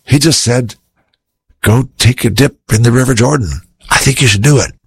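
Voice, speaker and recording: A man's voice: medium pitch, moderate pace, vocal fry, breathy edge, pitched above the performer's own voice. A man voicing a loyal officer who reasons with his captain in a coaxing way. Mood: persuading. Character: loyal soldier advisor, man, persuasive.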